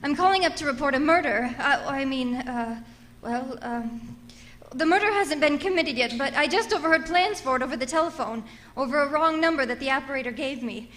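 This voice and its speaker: High-pitched, nervous, imperious invalid wife. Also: female voice, fairly quick, a bit bright, a little hiss or hum. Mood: agitated, anxious. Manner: flustered, stammering, insistent, haughty. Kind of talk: old-time radio thriller acting.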